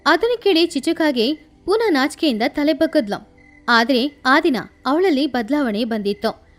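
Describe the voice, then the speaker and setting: A woman tells a children's moral tale in a high-pitched voice. It is scripted storytelling narration with music playing behind her. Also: expressive, unhurried. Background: background music.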